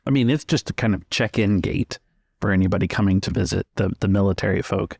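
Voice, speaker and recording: Guy running a tabletop game, lowish gravelly voice, narrating off the cuff, dry close mic. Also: fast, darkish tone, no room sound. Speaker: game master.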